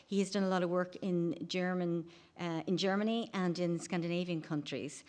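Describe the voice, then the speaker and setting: A woman's voice: lowish voice, steady tone, moderate pace, a little mellow. A woman moderating a conference session, thanking one speaker and introducing the next in a loose, chatty way. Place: conference room.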